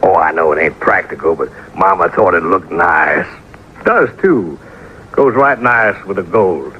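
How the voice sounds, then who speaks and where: A man's voice: sits low, lively intonation, measured pace. A male radio actor playing a rich, naive Texan oilman with a folksy drawl and rustic grammar. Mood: bashful, proud. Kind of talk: old-time radio acting. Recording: muffled, noisy old radio recording.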